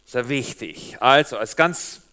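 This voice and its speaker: A middle-aged man with a Swabian German accent shaded by years in Switzerland, giving a lively, humorous sermon as a guest preacher and speaking directly to the congregation. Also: medium pitch, unhurried. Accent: Swabian German with Swiss German influence.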